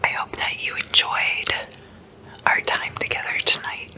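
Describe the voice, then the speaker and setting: ASMR host, fully whispered, breathy and very crisp-edged, unhurried casual explainer delivery. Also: bright, forceful tone. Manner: slow, deliberate, with drawn-out pauses.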